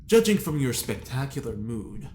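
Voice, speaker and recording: A man acting in an audio drama as a sardonic, prying companion with an arch, theatrical, drawn-out delivery. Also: mid-range voice, sing-song, darkish tone, close to an everyday voice. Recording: echoey room, noisy ambience.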